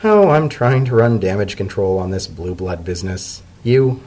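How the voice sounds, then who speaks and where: A man's voice: low-pitched, lively intonation, moderate pace, darkish tone. A man voicing an unflappable female pony secretary with a casual, matter-of-fact manner in a storybook reading.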